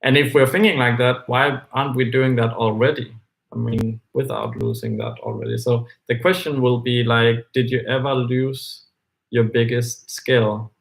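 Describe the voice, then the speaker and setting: Man musing in halting English. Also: medium pitch, gravelly, low-quality recording. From Denmark. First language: Danish. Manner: hesitant, thinking aloud with many fillers and restarts. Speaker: co-host of a live book discussion. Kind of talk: casual discussion.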